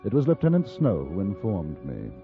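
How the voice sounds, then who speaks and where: An actor playing an urbane newspaper reporter out West, narrating a 1950s radio drama with dry, understated wit. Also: male voice, sits low, huge pitch swings, moderate pace, exaggerated voice. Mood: wry, reflective. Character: wry, urbane English newspaper reporter and gunman in Old West. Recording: muffled old radio recording, orchestral music.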